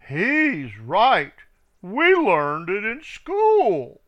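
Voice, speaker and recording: A man's voice: fairly high pitch, sing-song, drawn-out words, a bit bright, muffled recording, exaggerated voice. A man doing a sassy defiant duckling. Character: cheeky young duckling, male, defiant.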